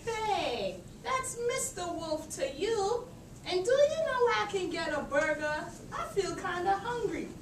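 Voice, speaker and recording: A woman's voice: very high-pitched, big pitch swings, measured pace, heavily acted. A woman voicing a sly, cheeky, hungry male wolf who sweet-talks people in a children's puppet show. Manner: wheedling, cheeky. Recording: distant echoey room with faint noise.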